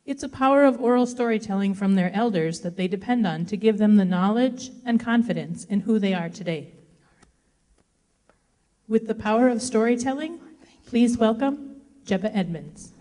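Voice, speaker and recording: Mellow woman introducing a speaker. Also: mid-range voice, moderate pace. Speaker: event host. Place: event hall stage. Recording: echoey hall.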